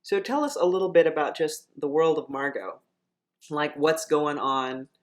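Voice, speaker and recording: A woman's voice: deep voice, lively intonation, normal speed. An American woman from San Diego hosting a casual, conversational interview. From San Diego, United States. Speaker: podcast host and interviewer.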